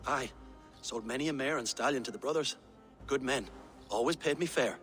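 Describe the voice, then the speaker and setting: Male actor for a game-drama horse trader: plain-spoken, resilient, mid-pitched, light music underneath. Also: normal speed, a bit bright, lightly acted. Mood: earnest, weary. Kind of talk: dramatic video game acting.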